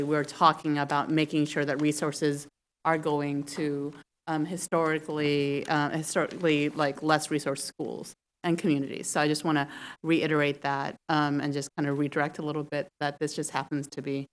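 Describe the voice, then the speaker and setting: Low-voiced woman on a school board. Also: little pitch movement, moderate pace. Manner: careful, hesitant, many fillers. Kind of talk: board meeting discussion. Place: civic center meeting hall.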